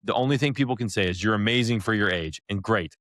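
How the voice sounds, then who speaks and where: A man's voice: lowish voice, fast talker, a bit bright, no echo, clean studio sound. An American man co-hosting a podcast in casual chat, opinionated and emphatic in the way he talks. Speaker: podcast co-host.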